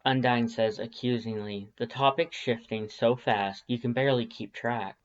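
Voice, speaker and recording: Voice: medium pitch, fairly even intonation, normal speed. Storybook narrator, slightly muffled. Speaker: audiobook narrator. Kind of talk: expressive second-person fan fiction narration.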